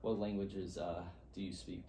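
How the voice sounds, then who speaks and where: A man's voice: deep voice, little pitch movement, normal speed, dark tone. A man working through interview questions with a hesitant delivery. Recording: distant mic, faint background noise.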